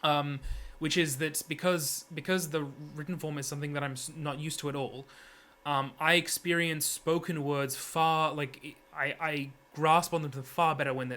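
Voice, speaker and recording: A man giving an unscripted, analytical opinion monologue, halting often and correcting himself as he works through his argument. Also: fairly high pitch, pushed and bright. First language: English, heritage speaker of Serbian. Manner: hesitant, halting, frequent self-corrections.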